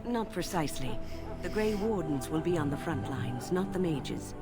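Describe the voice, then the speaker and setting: An actress playing a calm, kindly older woman mage in a video game, speaking in a measured, gracious way. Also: low-pitched, loud music behind the voice. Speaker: game character. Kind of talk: voiced game dialogue.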